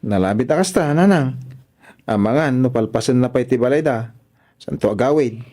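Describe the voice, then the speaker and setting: An actor with a northern Philippine accent playing a polite, bashful young son in a radio drama, talking in a shy, conversational way. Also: male voice, medium pitch, lively intonation, moderate pace, warm tone, natural voice. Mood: easygoing.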